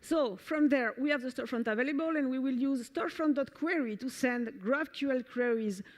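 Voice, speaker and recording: A woman's voice: sits high, brisk. A woman from France with a French accent, presenting a technical talk with a live demo at a conference, enthusiastic and full of fillers and restarts. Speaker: conference speaker. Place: conference stage before an audience.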